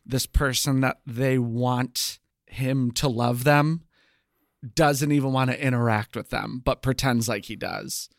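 A man's voice: mid-range voice, fairly even intonation, a little slow, creaky, dry recording, clean studio sound. A man co-hosting a podcast, chatting casually about television. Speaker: podcast co-host. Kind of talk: casual TV review podcast chat.

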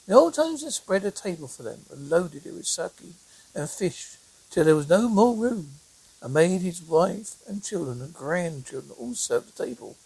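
A reader narrating a folk tale aloud in a halting amateur way, stumbling over words, with a husky, creaky voice. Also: medium pitch, big pitch swings, darkish tone, dry recording, poor audio. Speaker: storyteller reading a fairy tale.

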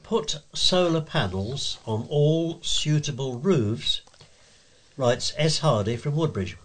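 A man reading letters and local items aloud as a volunteer news reader. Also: fairly high pitch.

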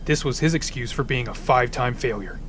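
An actor playing a practical, pun-loving ice supervillain who talks bluntly and dryly in an audio drama. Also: male voice, medium pitch, a little fast, fairly bright tone, a little hiss or hum, close to an everyday voice. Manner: dry, blunt, cracks cold puns.

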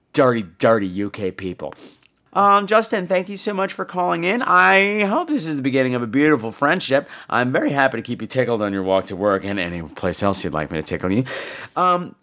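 An American man hosting a podcast in a casual, rambling, bawdy comic style, campy and a bit phlegmy from a cold. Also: mid-range voice. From United States. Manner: campy, bawdy, animated delivery. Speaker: podcast host.

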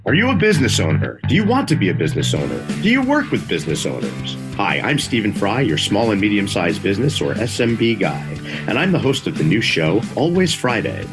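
A man reading a scripted promo for his radio show in an upbeat, animated way. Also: lowish voice, a little fast, few pauses. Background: guitar music.

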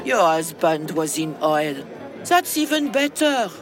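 An actress playing a wealthy, worldly older widow, elegant and teasing, in an audio drama. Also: female voice, lowish voice, big pitch swings, slow pace, over music, a crowd in the background. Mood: advising.

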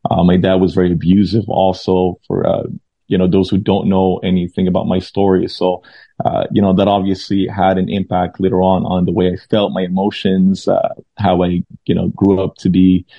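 A man in his thirties from Lebanon speaks low and gravelly, keeping his pitch fairly flat. He tells his story hesitantly, stopping often for fillers and starting his sentences over. The audio is dull and dry. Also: normal speed, dry recording. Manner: hesitant, many fillers and restarts. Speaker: pastor and guest. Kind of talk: testimony interview.

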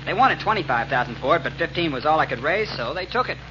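A chipper young ex-serviceman in an old radio drama. His voice is high for a man. The recording is muffled and clearly noisy. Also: very animated, fast talker. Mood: cheerful. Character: cheerful, eager young ex-soldier, new farm owner. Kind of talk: 1940s radio acting.

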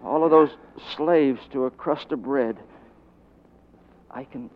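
An actor playing a worn-out condemned fugitive, an idealistic anarchist who rambles earnestly, in 1940s radio drama style. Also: male voice, mid-range voice, takes it slowly, natural voice. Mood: anguished fervor. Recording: muffled, phone-like 1940s radio recording.

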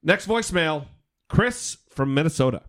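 A man's voice: fairly high pitch, lively intonation, moderate pace, a bit bright. A man co-hosting a talk podcast, speaking casually and emphatically, repeating phrases and swearing freely. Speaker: podcast co-host. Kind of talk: casual talk, reacting to listener voicemails.

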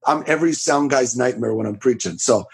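Raspy loud-talking preacher man. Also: medium pitch. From Florida. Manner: talks loud and fast, rambling with restarts. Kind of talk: casual testimony in a podcast interview.

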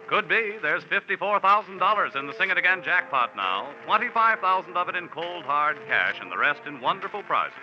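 A man announcing in a scripted, formal 1940s radio style. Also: high-pitched. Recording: dull 1940s radio recording, music.

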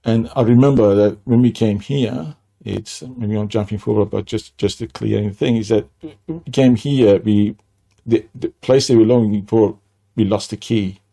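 Gravelly older man with Persian accent. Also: lowish voice, fairly even intonation, mellow. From Iran. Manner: halting, many restarts and fillers. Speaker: guest. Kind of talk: personal storytelling interview.

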